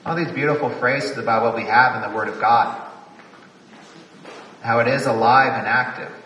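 A man's voice: medium pitch, fairly even intonation, moderate pace, pushed and bright, roomy sound, long reverb tail, far from the mic, rough recording. A man, a priest, preaching a reflective homily at a retreat, repeating phrases for emphasis.

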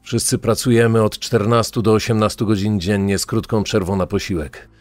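A sober, worried male county sheriff, acted by a man speaks in a low voice. The sound is dry and close, like a podcast reenactment. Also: fairly even intonation, brisk, a little mellow, no room sound, close to an everyday voice. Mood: grave, worried. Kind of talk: acted quote in a true-crime podcast.

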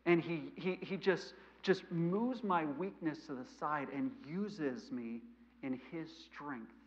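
A man in his thirties with a mild stutter, preaching a sermon in a church sanctuary, animated and gesturing, swinging between loud emphasis and quiet asides. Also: a little high, takes it slowly. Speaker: missionary preacher. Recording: lo-fi church recording.

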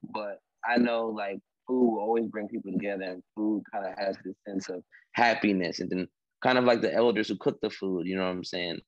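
A young man, a native Haitian Creole speaker, talks haltingly about his art on a video call, with many fillers. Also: fairly low pitch, fairly even intonation, no echo. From Washington, D.C. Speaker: artist. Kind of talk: video call artist talk. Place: room at home. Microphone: laptop video call. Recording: dull video call audio.

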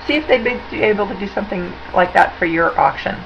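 Gravelly-voiced woman giving chatty webcam tips. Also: medium pitch, brisk, highs cut off, background noise. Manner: chatty, upbeat and conversational, with fillers. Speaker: auction consultant presenting tips. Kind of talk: casual webcam presentation over slides. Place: indoor room. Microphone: webcam at desk.

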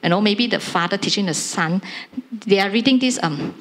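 A Malaysian woman in her forties speaks up from the audience of a large conference hall, holding a handheld mic. She talks in halting, repeated phrases, and her voice keeps climbing higher as she gets more excited. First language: Chinese. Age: 40s. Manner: animated, halting and repetitive, rising excitement. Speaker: audience member. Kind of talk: audience comment at a panel.

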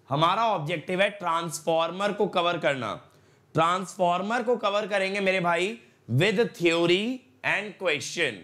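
A young man with a sore throat, hosting an online exam-prep class, mixing Hindi with English and repeating his points with energy. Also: fairly high pitch. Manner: repetitive, energetic, coaxing viewers with "my brother". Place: studio in front of a slide screen.

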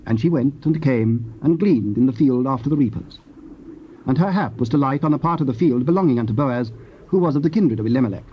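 A man's voice: mid-range voice, animated, brisk, slight background noise. A man giving solemn, scripted narration of scripture. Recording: dull, lo-fi old cassette.

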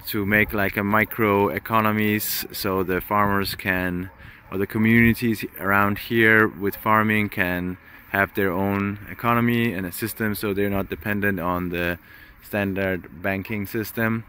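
Man vlogging outdoors, low voice. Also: a little hiss or hum. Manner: relaxed, chatty, with fillers and restarts. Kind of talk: casual travel vlog narration. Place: outdoors on a hillside farm. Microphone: phone at arm's length.

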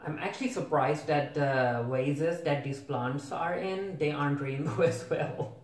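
A man's voice: fairly high pitch, measured pace. A young man gives casual reaction commentary in a bemused, questioning way, often repeating his own words. He is recorded at some distance from the microphone, in an echoey home room. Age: young adult. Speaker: reviewer reacting to a cartoon. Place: small home room with a desk. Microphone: camera a few feet away.

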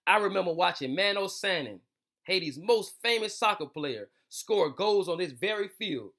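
A man voicing a kind, encouraging older man in a storybook, gently and earnestly reassuring children. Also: sits high, animated, moderate pace, heavily acted.

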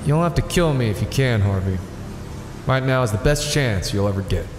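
An earnest older vigilante hero, played by a man in an audio drama. His delivery is animated and dramatic, resolute yet weighed down by guilt. The voice rings in a big echoing space over faint music. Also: medium pitch, normal speed, natural voice. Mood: firm, persuading. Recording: echoey, reverberant hall sound.

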